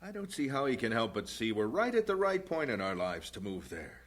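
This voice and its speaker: Casting: earnest young-family missionary husband, plain-spoken and devoted, animated intonation, old-time radio style. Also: male voice, mid-range voice, brisk. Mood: thoughtful, resolved.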